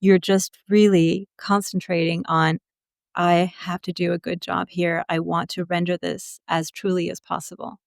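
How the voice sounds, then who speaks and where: A woman's voice: fairly low pitch, flat delivery, normal speed, gravelly, dry recording, studio quality. A woman telling stories casually as an interview guest, candid and chatty.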